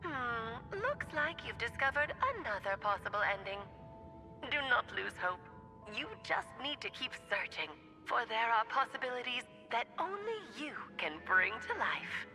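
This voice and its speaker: A woman's voice: on the high side, big pitch swings, moderate pace, pushed and bright, soft background music, exaggerated voice. A woman voicing an assured, kindly and mysterious guide in a video game. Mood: encouraging.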